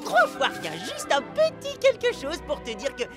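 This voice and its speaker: A woman voicing an excitable, cheeky young amoeba schoolboy in a French cartoon dub, whiny and exaggerated, stammering when flustered. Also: very high voice, normal speed, loud music behind the voice, heavily acted. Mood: sheepish.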